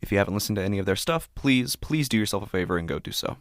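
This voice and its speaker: A man's voice: on the low side, expressive, very fast, no echo, clean studio sound. A man hosting and narrating a scripted horror story. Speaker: podcast host and narrator.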